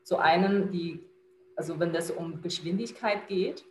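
A woman with a Chinese accent speaks German, her first language being Chinese, pausing often on fillers as she explains her work. Her voice sits a little low for a woman. The recording has echo and faint noise. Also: a little mellow. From China. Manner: hesitant, many fillers, non-native grammar. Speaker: business consultant. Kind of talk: conversational interview answer.

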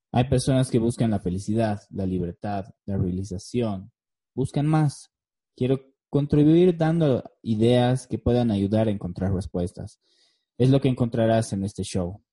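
Male podcast host, thirties, Bolivian accent, extremely soft-edged dark tone, earnest scripted delivery. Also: fairly low pitch. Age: 30s. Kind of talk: scripted solo podcast narration.

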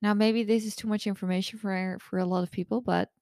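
A hoarse woman in her thirties who has lost her voice, a coach hosting her own podcast in a candid, chatty way. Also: medium pitch, fairly even intonation, fast, darkish tone, no room sound, clean studio sound. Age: 30s. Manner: candid, chatty, open-book. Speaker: podcast host and eating disorder recovery coach. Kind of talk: casual solo podcast monologue.

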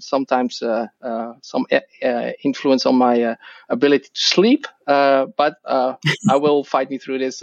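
A middle-aged man with a Dutch accent, a podcast guest who speaks English as a second language and talks haltingly with many restarts and fillers. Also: mid-range voice, no room sound, muffled recording. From Netherlands. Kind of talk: podcast interview.

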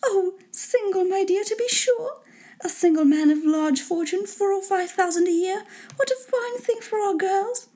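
A woman voicing a fussy, excitable middle-aged wife and mother in a storybook reading, gushing, pleading and impatient. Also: very high voice, moderate pace, voice pushed up. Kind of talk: storybook character voice in an audiobook reading.

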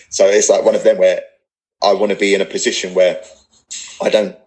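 Low-pitched Englishman, not posh. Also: level tone, moderate pace, a little mellow, poor audio. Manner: earnest, rambling, blunt with swearing. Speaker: podcast guest, personal trainer business owner. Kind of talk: casual podcast interview, candid talk.

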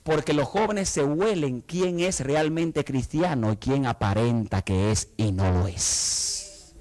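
A man's voice: mid-range voice, slow pace, darkish tone. A middle-aged man from the Dominican Republic with a Dominican accent, preaching a sermon and acting out the voices of the people he quotes. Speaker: pastor.

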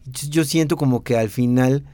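A man's voice: mid-range voice, a little fast, warm tone, no room sound. A Mexican man in his thirties with a Mexican accent, a designer and teacher chatting casually in an interview, rambling with many fillers and restarts.

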